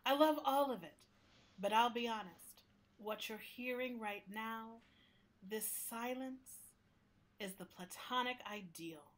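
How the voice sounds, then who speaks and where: A woman playing a talking library building in a radio play, gentle but fussy, with a confiding, exasperated manner. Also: a little high, slow. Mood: serene, candid. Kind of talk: radio play acting in interview form.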